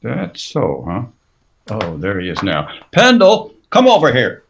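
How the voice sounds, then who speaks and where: A folksy saloon keeper from a radio Western, with a pitch that swings widely. He is protective and speaks in rustic phrases. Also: wildly swooping pitch, measured pace, gravelly, slight background noise, heavily acted. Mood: guarded, insistent. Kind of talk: old-time Western radio acting.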